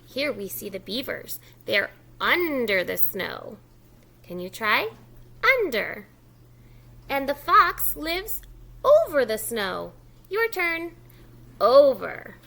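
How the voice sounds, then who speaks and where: Animated woman reading a storybook to preschoolers. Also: on the high side, slow, gravelly, pauses often. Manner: expressive, encouraging, simple child-directed talk with prompts to repeat words. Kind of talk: children's picture-book read-aloud with asides to young listeners.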